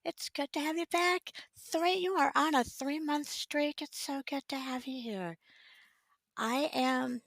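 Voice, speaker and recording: A woman hosting a casual art livestream, her voice raspy as she recovers it, talking in a relaxed, halting way with many ums. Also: sits high, no room sound. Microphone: desk microphone.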